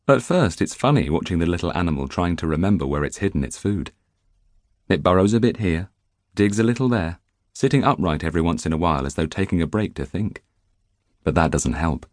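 A man narrating a nonfiction audiobook in an expressive storytelling manner, close to the microphone in a studio. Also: low-pitched, no room sound. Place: dry recording studio.

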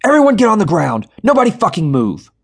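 A man voicing a violent male bank robber who shouts crude commands at hostages, in an audiobook reading. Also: medium pitch, big pitch swings, measured pace, breathy edge. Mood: shouting.

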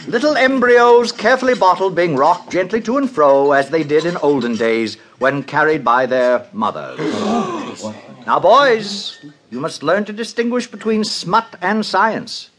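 Pompous director with swooping pitch. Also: male voice, animated, a little slow, crowd noise. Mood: pompous lecturing. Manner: grandiose, lecturing, oily. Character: pompous male director of a futuristic human hatchery. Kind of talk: 1950s radio drama acting.

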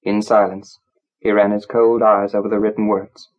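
A man narrating a literary story from a script, in a measured, grave, dramatic storytelling manner. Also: on the low side, level tone, a little mellow, dull audio. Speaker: audiobook narrator.

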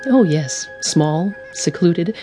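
A woman's voice: on the low side, animated, takes it slowly, mellow, faint music behind. A woman playing a polite, firmly convinced wife and mother in a radio drama, formal and composed. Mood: polite, assured.

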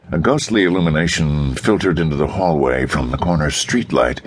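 A man narrates pulp fiction for an audiobook. His voice is exceptionally deep, with a husky grain. The reading is scripted and dramatic.

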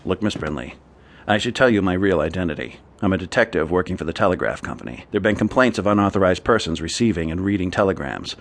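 A man's voice: low voice, lively intonation, fast talker. An actor playing a shrewd, brusque male defense lawyer in a radio drama, with a clipped, commanding, businesslike manner. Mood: pressing, firm.

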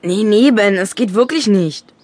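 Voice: mid-range voice, a little slow, a little mellow, lightly acted. A performer playing a cheeky, crafty young boy with a nonchalant, sly manner in a German children's audio drama. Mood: feigned reluctance. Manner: nonchalant, sly, feigning innocence.

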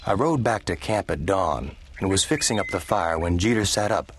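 Low-voiced easygoing cowboy. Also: male voice, lively intonation, moderate pace, noisy background. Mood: reflective. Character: easygoing young cowboy drifter narrating his own story. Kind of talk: first-person western audio drama narration.

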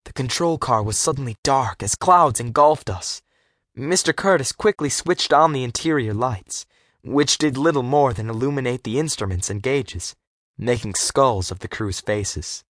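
A man's voice: medium pitch, moderate pace, fairly bright tone, no room sound. Man narrating an adventure audiobook, close and dry. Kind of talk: scripted first-person storytelling for young readers.

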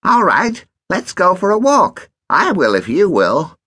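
A man's voice: sits high, huge pitch swings, a little slow, pushed and bright, dry recording, clean studio sound, pitched above the performer's own voice, exaggerated voice. A man voicing a lazy, cheeky stepson who needles his stepfather in a complacent tone. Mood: smug. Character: smug chubby boy, lazy and cheeky.

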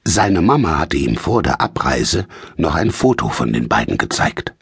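A man reads a children's tale in a very deep, husky voice. His delivery is slow and full of dramatic swings in pitch, with a close, dry sound. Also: dry recording. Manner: slow, expressive, dramatic storytelling. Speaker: audiobook narrator. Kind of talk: scripted children's story narration.

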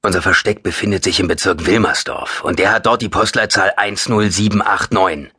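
A man's voice: low-pitched, brisk, pushed and bright, husky, dry recording, clean studio sound, exaggerated voice. A man voicing a short, chatty, paranoid homeless man in an audiobook, rambling insistently and nervously. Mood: agitated, conspiratorial.

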